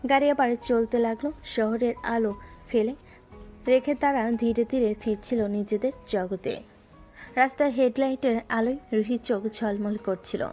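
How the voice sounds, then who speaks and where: A woman narrating a romantic audio drama in a smooth storytelling manner. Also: on the high side, moderate pace, mellow, soft background music.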